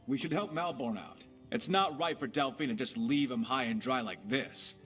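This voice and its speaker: An actor playing a dashing, playful pirate rogue in a video game, wry, boastful and theatrical. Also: male voice, mid-range voice, moderate pace, fairly bright tone, faint music behind, close to an everyday voice. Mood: warm, sly. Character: dashing cocky young male pirate rogue, playful and tender. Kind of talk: voiced game character dialogue.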